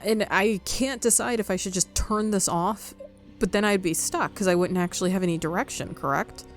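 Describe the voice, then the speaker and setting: A woman's voice: mid-range voice, normal speed, soft background music. A woman giving casual commentary as she plays a game, reacting aloud and talking back. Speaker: game player.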